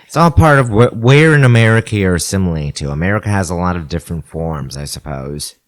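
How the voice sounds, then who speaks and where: A man's voice: deep voice, animated, quick pace. A man co-hosting a podcast in casual chat, making agreeable, opinionated remarks. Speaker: podcast co-host.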